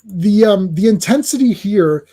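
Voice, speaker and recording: A man's voice: high-pitched, expressive, measured pace, mellow. A man hosting a casual comics livestream, chatting through a comic and greeting viewers in the chat.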